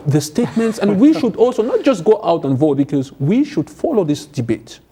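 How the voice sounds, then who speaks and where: A man's voice: sits high, very animated, a little fast, dark tone, breathy edge. A man on a television panel, speaking earnestly and urging his audience to stay informed. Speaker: panel guest. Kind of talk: panel discussion.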